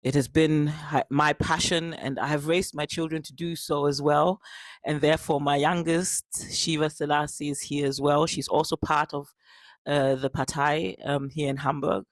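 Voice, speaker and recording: A middle-aged woman introducing herself at a political Q&A, speaking deliberately and haltingly, with long pauses. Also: sits low, fairly even intonation, no echo. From Germany, Ghana and the UK. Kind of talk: spoken self-introduction at a Q&A. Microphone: handheld or passed microphone, close.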